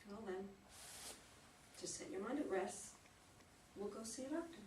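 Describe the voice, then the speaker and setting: A woman reading the role of a self-assured, nonchalant upper-class daughter in her forties in a play, brisk and questioning. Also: mid-range voice, expressive, natural voice. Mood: dismissive, reassuring. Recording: echoey noisy room, distant mic.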